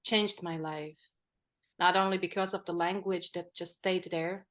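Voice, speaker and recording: A woman in her forties, a native Polish speaker from the Czech Republic whose English carries an American tinge. She speaks as an interview guest in a measured way, pausing often with fillers. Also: lowish voice, fairly even intonation, fairly bright tone. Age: 40s. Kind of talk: reflective interview talk.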